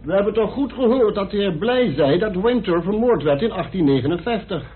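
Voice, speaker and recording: High-voiced, animated male juror. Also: a little fast, a little hiss or hum. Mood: polite, probing. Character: polite but shrewd, persistent jury member. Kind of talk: Dutch radio play acting. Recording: muffled, band-limited old radio recording.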